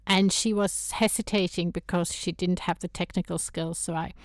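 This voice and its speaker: A woman's voice: fairly low pitch, fairly even intonation, normal speed, dry recording, clean studio sound. A woman from Sweden with a Swedish accent, a guest in a casual interview who talks with hesitant restarts and frequent laughter. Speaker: guest, toy photographer.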